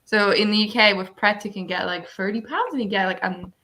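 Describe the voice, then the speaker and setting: A woman's voice: mid-range voice, a little fast, bright, forceful tone. A woman hosting a casual livestream, chatting with friends and reading out viewer comments. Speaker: host of a livestream reading sprint.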